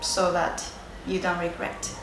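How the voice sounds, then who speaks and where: A woman from Japan with a Japanese accent, a native Japanese speaker giving reflective, unscripted interview answers, hesitant and searching for words with frequent pauses. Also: on the low side, monotone. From Sendai area, Japan. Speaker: interviewee, yoga and Japanese teacher. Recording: roomy echo, clear background noise.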